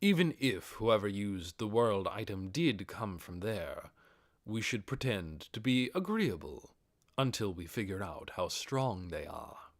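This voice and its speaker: A man voicing a cautious, gracious undead overlord in an audiobook, speaking in a measured, commanding way. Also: on the low side, very animated, no echo, clean studio sound. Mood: cautious, stern. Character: undead overlord with red-spark eyes, cautious, gracious master. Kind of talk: audiobook character acting.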